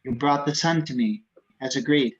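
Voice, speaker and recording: A man's voice: medium pitch, moderate pace, lightly acted. A man voicing a powerful, ominous psychic creature with solemn, portentous delivery in a script table read. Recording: dull, noisy home microphone with clicks.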